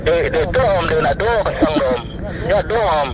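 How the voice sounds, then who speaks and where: A man's voice: expressive, moderate pace, a loud crowd. A man with a Minang-influenced Indonesian accent chatting casually as a motovlogger while riding a motorbike at night. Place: outdoors on a motorbike at night beside traffic. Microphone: motorbike intercom or phone headset. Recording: muffled intercom sound, loud traffic noise. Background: loud motorbikes, traffic and outdoor rumble.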